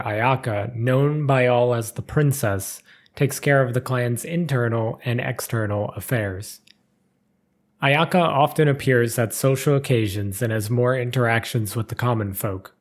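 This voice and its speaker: Guy reading lore out loud, scripted storytelling, kinda formal and measured, normal mid pitch voice. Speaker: narrator reading game character lore.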